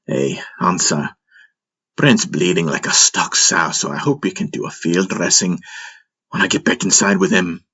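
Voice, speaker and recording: A man playing a gruff, joking, hot-headed spaceship pilot with rough, folksy old-salt talk in an audio drama, over music. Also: low-pitched, moderate pace, sharp tone, full character voice. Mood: grim, wry. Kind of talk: audio drama character acting.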